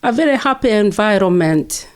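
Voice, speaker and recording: A woman's voice: medium pitch, animated, moderate pace, clean tone. A woman in her seventies with a Kenyan accent, recalling her life in a conversational interview. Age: 70s. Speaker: interview guest, retired nurse and midwife. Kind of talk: conversational oral-history interview, recollecting.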